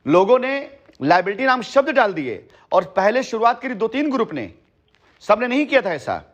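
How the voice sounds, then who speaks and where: Guy on a phone call, slightly high voice, really earnest, keeps repeating his points to persuade. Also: sing-song, normal speed. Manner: earnest, emphatic, repetitive. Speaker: company head addressing a customer by phone. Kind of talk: persuasive phone conversation, long monologues. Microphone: telephone.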